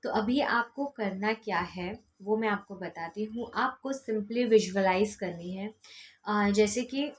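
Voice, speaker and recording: A woman's voice: medium pitch, slow. An Indian woman giving instructional how-to talk as a coach, repeating key steps and mixing in English words. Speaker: law of attraction coach.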